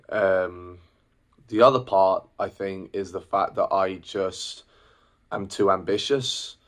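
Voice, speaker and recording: A young man in his twenties with a deep, low voice talks to camera in a casual, personal way. He rambles a little, pausing on fillers and restarting his sentences as he works through his thoughts. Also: fairly bright tone. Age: 20s. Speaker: singer and vlogger.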